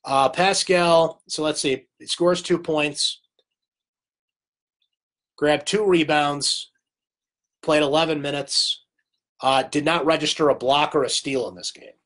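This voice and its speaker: A man's voice: fairly high pitch, fairly even intonation, slow pace, long pauses, fairly bright tone. A man hosting a live sports recap stream, casual and opinionated, answering viewer comments while losing his voice to a cold. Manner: chatty, opinionated, reads viewer comments aloud.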